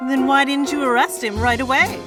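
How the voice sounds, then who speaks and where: A woman's voice: sits high, steady tone, fairly quick, music in the background, natural voice. An actress playing a plucky, eager, wisecracking young woman with a brash, exclamatory way of talking, in old-time radio mystery style. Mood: challenging.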